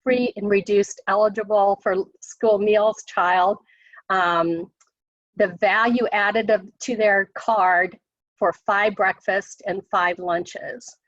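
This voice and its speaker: A woman official with an American accent, hoarse from a cold, briefing on a conference call, halting with many ums and restarts. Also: mid-range voice, steady tone, no room sound. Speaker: state education official. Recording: dry muffled call audio.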